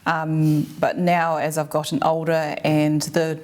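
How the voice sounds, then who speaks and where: Low-voiced New woman. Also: monotone, takes it slowly, a little mellow. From New Zealand. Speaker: guest, Samoan language learner.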